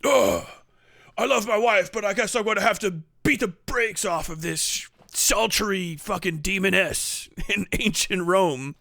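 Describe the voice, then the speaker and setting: A man's voice: high voice, unhurried, gravelly, pushed and bright, no room sound, clean studio sound, full character voice. A man voicing a hammy movie gladiator slave lamenting his fate with melodramatic mock anguish in a comic skit.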